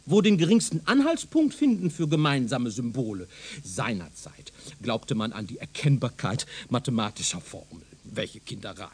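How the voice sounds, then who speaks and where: A pompous professor for a radio play, swoops up and down, husky, super condescending. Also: male voice, medium pitch, sing-song, moderate pace. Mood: dismissive, condescending. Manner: pompous, didactic, declamatory, condescending. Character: pompous, self-assured male professor lecturing on his doctrine. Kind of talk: German radio drama acting.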